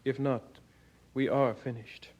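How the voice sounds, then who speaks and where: An actor playing an earnest, devout junior churchman in a radio drama, speaking solemnly and deliberately. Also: medium pitch, level tone, highs cut off, very noisy, close to an everyday voice. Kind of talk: old radio drama acting.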